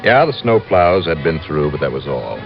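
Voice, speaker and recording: A man acting as a wry, brisk insurance investigator in a 1950s American radio drama, with clipped, punchy delivery. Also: rumbling low, sing-song, vocal fry, exaggerated voice. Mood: dry narration. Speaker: lead actor and narrator. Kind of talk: 1950s radio detective acting, first-person narration. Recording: muffled old radio recording with music.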